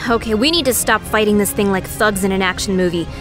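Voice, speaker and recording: A woman acts a bossy guild leader in a fantasy game story, her mid-pitched voice brisk and exasperated. She issues orders over clearly audible music. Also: lightly acted. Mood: exasperated, commanding. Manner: commanding, exasperated. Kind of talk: fantasy audiobook drama acting.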